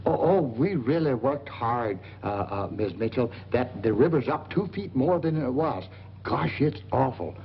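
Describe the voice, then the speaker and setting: A cocky boarding-house schemer from a 1940s film comedy. His pitch leaps around as he brags and wheedles in folksy, rough grammar. The old soundtrack is muffled, with a faint layer of noise. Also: lively intonation, measured pace. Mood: sheepish, flustered. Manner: folksy, ungrammatical, blustering bragging. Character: boastful, wheedling boarding-house man, middle-aged, small-time schemer.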